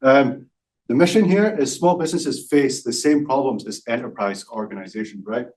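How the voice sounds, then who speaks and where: A Scottish man in his forties, a startup founder giving a live pitch with lots of ums and self-deprecating asides. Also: moderate pace, darkish tone, distant mic. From Scotland. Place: event stage.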